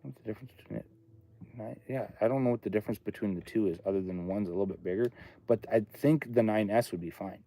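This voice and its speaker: A man's voice: on the low side, fast, dark tone, a little hiss or hum, poor audio. A man in his late thirties chatting casually on a livestream from his home studio, thinking aloud and rambling between topics. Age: 30s. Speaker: livestream host, studio owner.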